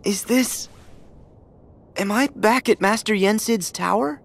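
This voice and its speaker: An actor voicing an earnest, excitable teenage boy hero in a video game, eager and sometimes hesitant. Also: mid-range voice, slow pace, creaky. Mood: puzzled, surprised.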